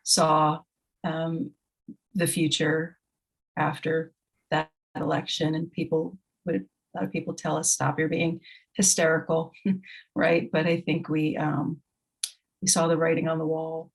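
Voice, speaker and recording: A woman's voice: deep voice, level tone, a little slow, vocal fry, rough recording. A woman, a guest poet, speaking in a frank, wry way. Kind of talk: conversational interview answers.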